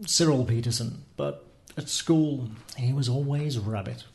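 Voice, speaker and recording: An actor playing an unflappable, dryly witty male spy in a radio theatre drama, with deadpan, punning delivery. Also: medium pitch, a little slow, slightly rough. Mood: wry grief. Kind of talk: scripted radio theatre acting.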